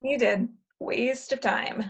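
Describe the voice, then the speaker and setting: A woman's voice: a little high, steady tone, slow pace, slightly rough, heavily acted. A woman playing a cynical, money-minded twenty-seven-year-old in a comedy play reading, blunt and insistent. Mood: blunt, dry. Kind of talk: comedy play read aloud.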